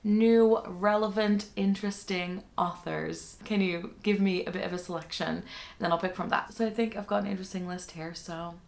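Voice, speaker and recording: A woman's voice: mid-range voice, steady tone, normal speed, gravelly. A young woman from the Netherlands, a native Dutch speaker, talking casually and chattily to viewers in a book haul vlog. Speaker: book reviewer and video host.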